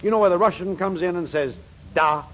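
An American actor playing a fussy, apologetic middle-aged shop boss in a 1940s radio comedy, hemming with false modesty. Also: male voice, high voice, a little fast, fairly bright tone. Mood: fussily apologetic. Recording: noisy vintage 1940s radio recording.

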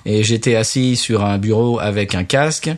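A man's voice: lowish voice, normal speed. A man from France hosting a radio show, chatty and joking, with frequent stammering restarts. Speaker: radio host. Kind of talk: casual radio chat.

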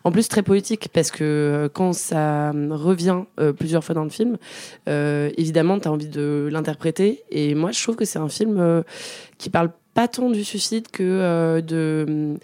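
A woman co-hosting a casual film podcast, often pausing and searching for words with many fillers. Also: sits low, mellow, no echo. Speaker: podcast co-host.

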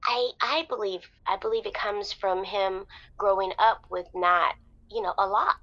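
A woman playing a shy, lovelorn radio caller in an improvised audio drama, halting and apologetic with nervous hesitations. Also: medium pitch, expressive, vocal fry, fairly bright tone, lo-fi sound, full character voice. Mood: hesitant. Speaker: caller to radio advice show.

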